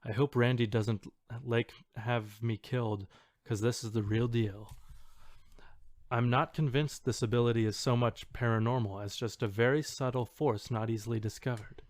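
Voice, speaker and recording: A man acts out an earnest forum poster who is sure he has a secret power. He states his claims in a matter-of-fact, insistent way at a middling male pitch. The recording is close and dry, like a podcast reading. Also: no room sound, natural voice. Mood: earnest, confident. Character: self-assured forum poster boasting of telekinetic powers. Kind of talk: dramatic reading of an online post.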